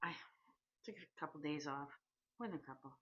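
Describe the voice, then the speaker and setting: A Canadian woman in her sixties talks to camera in a candid, chatty vlog, stopping and restarting now and then. Her voice sits a little low and sounds husky and breathy. The recording is dull and lo-fi. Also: brisk. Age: 60s. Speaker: vlogger. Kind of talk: casual personal vlog.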